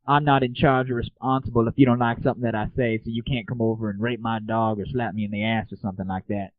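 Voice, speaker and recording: A man's voice: fairly low pitch, little pitch movement, quick pace, no room sound. A man hosting an internet comedy radio show, talking casually in crude slang. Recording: lo-fi, phone-like narrowband audio.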